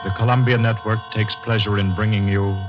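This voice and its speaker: Brief: man, 1940s announcer, declamatory, loud music beneath, dull vintage audio. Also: fairly low pitch, level tone, fairly quick. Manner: formal, declamatory.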